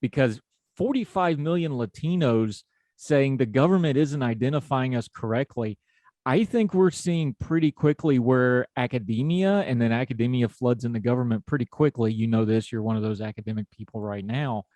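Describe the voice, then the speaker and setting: A man's voice: mid-range voice, moderate pace, mellow, no room sound. A man from West Virginia with an Appalachian accent, talking in a folksy, conversational way as a podcast host. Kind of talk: conversational interview.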